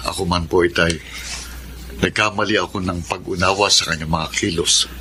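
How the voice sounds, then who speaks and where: A man's voice: low-pitched, steady tone, moderate pace, sharp tone, husky, exaggerated voice. An actor with a Filipino accent playing a troubled husband and father in a radio drama. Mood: earnest, remorseful.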